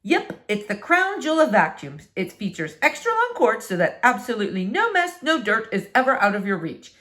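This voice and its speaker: Woman playing an eager, boastful husband, very animated. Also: fairly high pitch, normal speed. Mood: proud. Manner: salesman-like, boastful. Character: enthusiastic husband showing off a vacuum cleaner gift.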